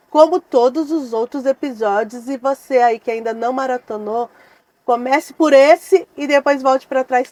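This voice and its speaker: A woman hosting a casual podcast interview, talking in a chatty, unhurried way. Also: fairly high pitch, a little mellow, no room sound. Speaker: podcast host.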